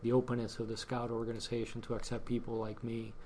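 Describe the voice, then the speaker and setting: Cuban-born man, flat hesitant delivery. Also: mid-range voice, fast, slight background noise. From Cuba. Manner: hesitant, many fillers and restarts. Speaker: guest, scouting volunteer. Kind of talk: casual interview chat.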